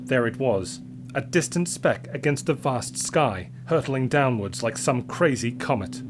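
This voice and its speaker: A man's voice: medium pitch, lively intonation, measured pace, a little hiss or hum. A man narrating an adventure story in a scripted, dramatic style.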